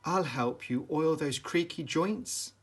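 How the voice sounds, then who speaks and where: A man's voice: fairly high pitch, slow, breathy edge, pitched above the performer's own voice. A man voicing a plucky, kind young farm girl in a storybook, earnest and wide-eyed. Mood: friendly.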